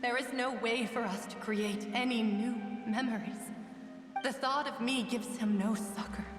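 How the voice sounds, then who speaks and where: A woman's voice: on the high side, steady tone, measured pace, over music, close to an everyday voice. A woman voicing the sorrowful lingering consciousness of a lost young woman adventurer, speaking in a wistful, mournful way. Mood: grieving. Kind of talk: game voice acting. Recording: roomy reverb, distant from mic.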